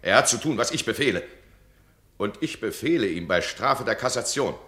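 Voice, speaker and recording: An actor playing a domineering duke who scolds his subject in a radio play, his voice swinging between cold and loud. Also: fairly low pitch, animated, moderate pace. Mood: imperious. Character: domineering stern duke, absolute ruler. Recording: roomy radio drama studio.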